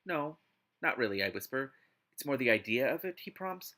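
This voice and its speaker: A man hosting a live read-aloud of a book, mixing narration with casual, exasperated and expressive commentary. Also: medium pitch, normal speed. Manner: exasperated, expressive asides while reading.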